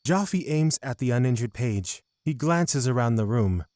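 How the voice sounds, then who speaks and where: A man's voice: mid-range voice, expressive, measured pace, a little mellow, dry recording, clean studio sound. A man narrating a scripted fantasy audio drama.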